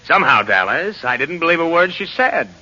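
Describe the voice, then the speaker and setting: An actor playing a suave, menacing crime boss in a 1940s radio drama, speaking formally with cool irony. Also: male voice, a little high, big pitch swings, brisk. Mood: mocking politeness. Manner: smooth, formal, coolly ironic. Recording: noisy muffled old radio recording.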